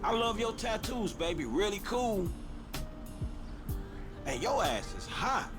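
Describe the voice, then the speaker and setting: Casting: male actor as flirty, fawning game character, high swooping voice, slow delivery, loud music behind. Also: very animated, heavily acted. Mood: flirty, admiring. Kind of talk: video game character voice lines.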